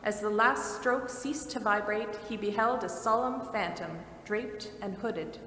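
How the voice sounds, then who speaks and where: A woman's voice: medium pitch, unhurried, fairly bright tone, slight background noise. Woman storyteller in an echoey room. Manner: clear, storytelling. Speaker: reader. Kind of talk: dramatic reading of a classic story. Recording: echoey room with long reverb.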